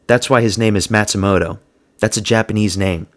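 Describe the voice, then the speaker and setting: A man's voice: fairly low pitch, fairly even intonation, a little fast. A man giving a blunt, crude, slang-heavy solo review of fight results. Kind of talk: casual solo commentary.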